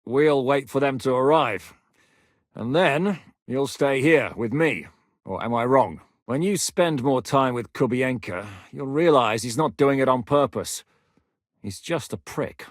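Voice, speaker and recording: An actor playing a hardened, foul-mouthed war captain in a video game, gruff and commanding. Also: male voice, medium pitch, a little slow, dry recording, studio quality, natural voice. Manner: gruff, curses freely, barks orders. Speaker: military commander.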